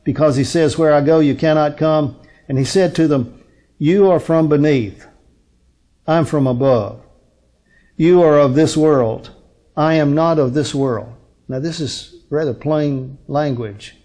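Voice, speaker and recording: A man in his sixties preaching as a pastor, teaching from scripture in a deliberate, emphatic manner with rhetorical questions and pauses. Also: on the high side, warm tone. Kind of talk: sermon, expository Bible teaching from a lectern. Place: indoor lectern on a curtained stage.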